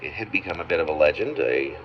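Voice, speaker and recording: A man reading his own narrative poem aloud as its author, in halting phrases with pauses mid-sentence. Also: a bass voice, animated. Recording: muffled, noisy amateur home recording.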